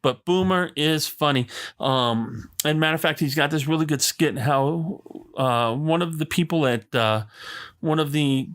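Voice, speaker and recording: Rambling, fairly high-voiced male podcast host. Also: a little slow, fairly bright tone. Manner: rambling, enthusiastic, lots of fillers and asides. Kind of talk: casual solo podcast rant. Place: Arizona.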